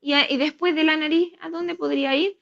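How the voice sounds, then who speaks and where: A woman's voice: high voice, steady tone, a little fast, vocal fry, a bit bright. A woman teaching young children in a classroom, didactically asking guiding questions and repeating answers. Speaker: primary school teacher. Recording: dull lo-fi classroom video playback.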